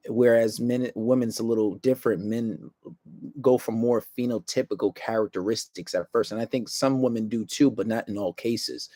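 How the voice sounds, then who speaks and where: A man's voice: lowish voice, fairly even intonation, normal speed, mellow, no room sound. A man hosting a talk show, speaking casually and bluntly, giving opinions in coarse language.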